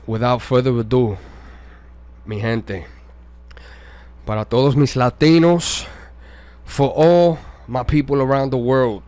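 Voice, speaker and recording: A man's voice: mid-range voice, a little mellow, dry recording. A man chatting as a guest music artist on radio, with a showman's grand way of introducing things. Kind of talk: radio interview chat. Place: radio studio.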